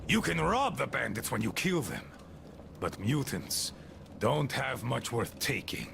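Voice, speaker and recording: A bitter, crippled outpost leader in a video game, ranting with mocking scorn. His gravelly, rough voice swings up and down in pitch as he drags out his words slowly. Clear ambient game noise hums behind him. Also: full character voice. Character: embittered wounded male outpost leader.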